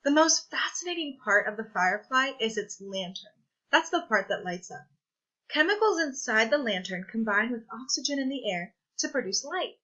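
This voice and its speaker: A woman reading a children's science picture book aloud, with clear, deliberate, teacherly phrasing. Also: fairly high pitch, animated, bright, forceful tone, lo-fi sound. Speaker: read-aloud presenter.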